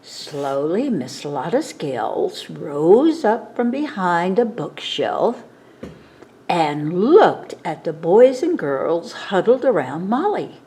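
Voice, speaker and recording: Animated, slow-paced woman reading a storybook. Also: on the low side. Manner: dramatic, animated storytelling for children. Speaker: children's storytime reader. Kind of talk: expressive picture-book read-aloud.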